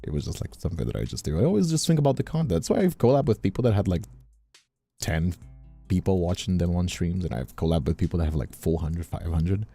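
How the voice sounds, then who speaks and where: A man from Brazil talks casually on a livestream in a very low voice. His delivery is lively and swings up and down, with a mellow, relaxed tone and informal, emphatic repetition. Faint music plays behind him. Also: fast, vocal fry, slightly rough. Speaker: streamer and voice actor.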